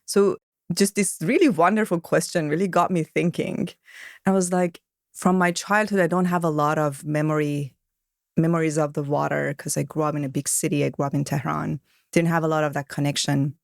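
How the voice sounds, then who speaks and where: Woman from Iran, podcast guest, reflective storyteller, gravelly tone, dry close sound. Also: sits low, no echo. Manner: reflective, storytelling with soft laughs. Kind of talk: podcast interview.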